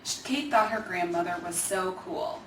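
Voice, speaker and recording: A woman reading a children's story aloud in an expressive, measured way, with emphasized phrases. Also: fairly low pitch. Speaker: Alzheimer's organization staffer reading a children's book. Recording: echoey room, distant mic, faint noise.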